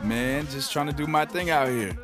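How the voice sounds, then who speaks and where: A man's voice: a little high, moderate pace, close to an everyday voice. Man playing casual young basketball star over music. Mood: friendly banter. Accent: American. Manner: casual, slangy, friendly banter. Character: young pro basketball star, easygoing and confident. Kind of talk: naturalistic video game cutscene dialogue.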